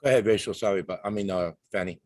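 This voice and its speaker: A man with a low voice hosts an event, introducing speakers in a halting, courteous and formal way. The sound is dry and close. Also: darkish tone, no echo. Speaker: host, moderator.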